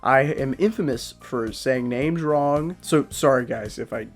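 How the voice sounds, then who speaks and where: A man's voice: medium pitch, unhurried, soft background music. A young man talking casually as a YouTube reviewer, rambling and poking fun at himself, with lots of fillers. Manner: rambling, self-deprecating, many fillers. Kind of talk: casual vlog talk.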